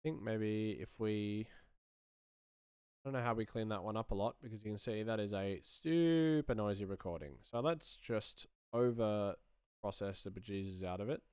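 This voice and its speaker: A man's voice: fairly low pitch, moderate pace, a little mellow, clear, resonant tone, dry recording, clean studio sound. A man in his thirties giving casual sound design commentary, musing aloud as he works. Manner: musing, thinking aloud while working. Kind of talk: casual screen-share commentary.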